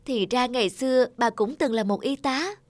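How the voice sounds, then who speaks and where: A woman's voice: on the high side, normal speed, no echo, close to an everyday voice. A woman playing a kind young nurse in a cartoon, speaking politely and earnestly. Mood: sympathetic. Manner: polite, caring. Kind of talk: animated moral tale dialogue. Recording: music behind the voice.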